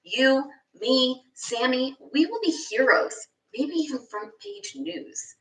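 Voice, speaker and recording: A woman's voice: high voice, expressive, slow, fairly bright tone, voice pushed up, heavily acted. A woman voicing a cocky, impatient boy inventor in a storybook, boastful and scoffing toward his brother. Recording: echoey room, distant microphone.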